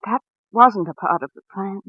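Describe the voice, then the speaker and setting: An actress playing a respectable small-town wife in a 1940s radio drama, speaking in a halting, anguished yet proper way. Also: female voice, mid-range voice, breathy edge, no echo, close to an everyday voice. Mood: pleading. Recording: muffled vintage radio recording, phone-like band.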